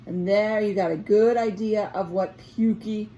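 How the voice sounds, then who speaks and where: A woman teacher reads aloud in an expressive storybook style, with a mid-range voice. She speaks emphatically, in an explaining, questioning way, over faint background noise. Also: mid-range voice, a little slow, highs cut off. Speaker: teacher reading aloud to children.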